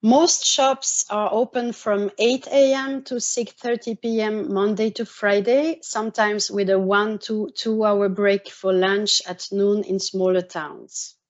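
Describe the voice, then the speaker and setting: A woman with a Swiss French accent giving an orientation presentation, measured and dotted with pauses and restarts. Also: medium pitch, steady tone. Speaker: university exchange coordinator. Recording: muffled lo-fi audio.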